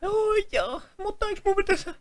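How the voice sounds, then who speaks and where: A man's voice: very high-pitched, lively intonation. A man voicing a naive, reluctant young boy in an amateur comedy cartoon dub, childlike and hesitant. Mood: hesitant, chatty.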